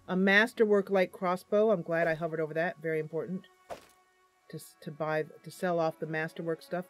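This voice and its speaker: A woman hosting a gaming channel, chatting casually through her gameplay and thinking aloud with lots of fillers. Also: lowish voice.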